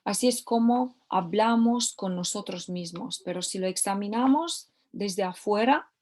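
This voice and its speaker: A woman's voice: medium pitch, a little slow, a little mellow. A woman teaching a recorded course lesson, often repeating key phrases for emphasis. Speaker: course instructor.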